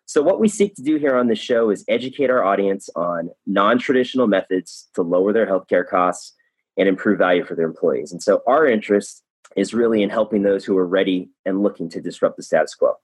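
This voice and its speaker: Husky-voiced male podcast host. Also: deep voice, expressive, fairly quick, no room sound, rough recording. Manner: upbeat, with repeated words and restarts. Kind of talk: podcast interview.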